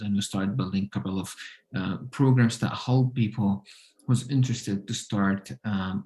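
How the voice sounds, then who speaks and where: Syrian-accented man, halting answers. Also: on the low side, mellow, poor audio. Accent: Syrian Arabic. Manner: halting, many fillers, non-native phrasing. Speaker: guest entrepreneur. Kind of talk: interview answers.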